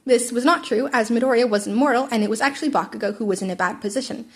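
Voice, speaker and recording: Female narrator for informal fan fiction podcast, slightly high-pitched reading voice. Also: rapid-fire, slightly rough. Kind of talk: story narration, informal podfic.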